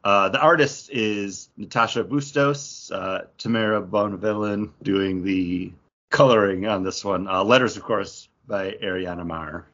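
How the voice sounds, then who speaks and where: A man's voice: fairly low pitch, steady tone, unhurried, a bit bright. A man hosting a podcast, chatting casually about comic books. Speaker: podcast host. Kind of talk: casual comic book discussion. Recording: dull, muffled lo-fi audio.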